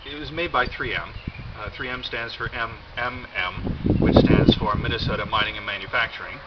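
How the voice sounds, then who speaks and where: An American man giving a casual, rambling show-and-tell with wry, deadpan humour and a halting delivery. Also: medium pitch. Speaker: video host. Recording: dull lo-fi audio, loud background noise.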